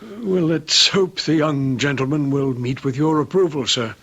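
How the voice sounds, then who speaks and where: An actor playing a mild-mannered, proper manservant in a radio drama, polite, tactful and a little hesitant. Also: male voice, fairly high pitch, a little slow, fairly bright tone. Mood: discreet, apologetic. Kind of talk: old-time radio drama acting. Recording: dull lo-fi radio drama recording.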